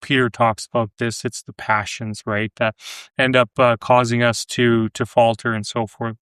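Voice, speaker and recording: A man's voice: medium pitch, level tone, moderate pace, a bit bright, clear, resonant tone, no echo, studio quality. A man hosting a casual podcast chat about faith, reflective and fond of listing examples. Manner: reflective, builds lists of examples. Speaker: podcast host.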